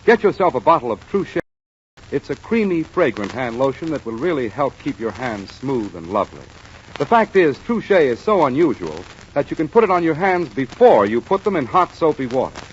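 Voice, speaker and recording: A man reading a 1940s radio advertisement as a commercial announcer, persuasive and carefully enunciated. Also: a little high. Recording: muffled 1940s radio recording, faint noise.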